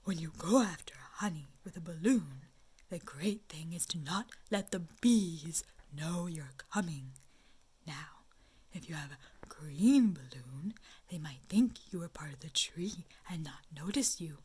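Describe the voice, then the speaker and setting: A woman voicing a small, earnest male bear in a storybook reading, talking in a slow, hushed whisper and pondering each word. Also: sits low, lively intonation, breathy edge, pitched below the performer's own voice, heavily acted. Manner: ponders aloud, drawn-out words. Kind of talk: storybook read-aloud.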